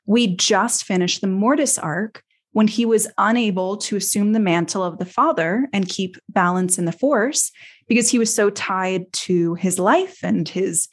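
A woman's voice: mid-range voice, unhurried. Enthusiastic woman co-hosting a podcast. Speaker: podcast co-host. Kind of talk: casual podcast discussion of a TV series.

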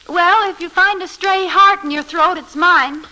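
High-voiced 1940s radio wife. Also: female voice, slow, bright, forceful tone, heavily acted. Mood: wry. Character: witty, nervous, devoted young wife of sleuth. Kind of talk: 1940s radio mystery acting. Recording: noisy old radio broadcast.

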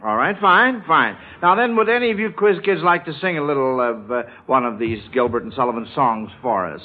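A man's voice: medium pitch, animated, a little fast. A man hosting a children's radio quiz, encouraging his panel, often hesitating and repeating himself. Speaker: quiz show host. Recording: tinny narrow-band vintage radio broadcast.

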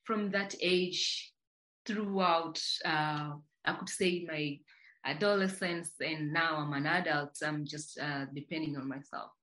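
A woman's voice: sits low, steady tone, slow, fairly bright tone, low-quality recording. Tanzanian-raised woman telling her story. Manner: reflective, many restarts and fillers. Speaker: podcast guest, company founder. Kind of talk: casual interview.

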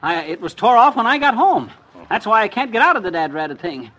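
Blustery henpecked american husband, highish voice, stammers and grumbles, old radio comedy. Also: male voice, sing-song, fairly quick, fairly bright tone, full character voice. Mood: pleading, exasperated. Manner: stammering, grumbling, folksy slang. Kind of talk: old-time radio situation comedy acting.

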